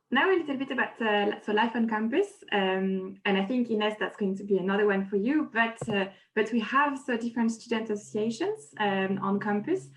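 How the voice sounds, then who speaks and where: A woman's voice: mid-range voice, low-quality recording. A woman hosting a webinar over a video call, presenting and asking a student questions, with many fillers. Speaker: webinar host.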